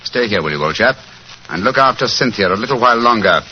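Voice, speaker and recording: An actor playing a self-assured English detective in 1940s radio style, with a clipped, theatrical, commanding delivery. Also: male voice, fairly low pitch, expressive, fast talker, natural voice. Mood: brisk, eager. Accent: British. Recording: noisy, muffled 1940s radio recording.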